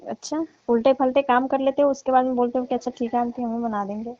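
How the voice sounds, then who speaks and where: A woman's voice: on the high side, flat delivery, quick pace, dry recording, lightly acted. A woman playing a teasing, mock-annoyed middle-aged auntie chatting casually and coyly on a phone call. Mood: mock scolding. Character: scolding, coy neighbourhood auntie. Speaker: caller in a staged comic phone call. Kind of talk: casual flirtatious phone chat. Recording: dull lo-fi phone-call audio.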